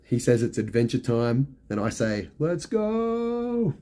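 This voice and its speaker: A middle-aged man with a hoarse, fading voice, chatting casually on a live stream and answering viewers' questions with lots of ums and you-knows. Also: mid-range voice, sing-song, slow pace, dark tone. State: hoarse, losing his voice.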